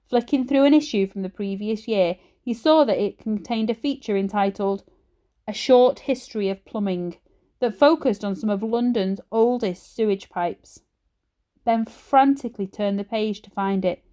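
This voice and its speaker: A woman teacher reading a children's story aloud in an expressive storytelling style. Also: medium pitch, moderate pace.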